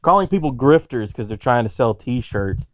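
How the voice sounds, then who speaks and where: A man's voice: mid-range voice, lively intonation, normal speed, no echo, clean studio sound. A man co-hosting a podcast, chatting casually with wry jokes. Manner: joking, wry asides. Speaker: podcast co-host. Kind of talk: casual chat.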